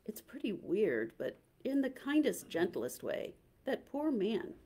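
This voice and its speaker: A woman reading the part of a kind, soft-spoken young woman. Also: medium pitch, sing-song, a little slow, slightly rough, pitched above the performer's own voice, full character voice.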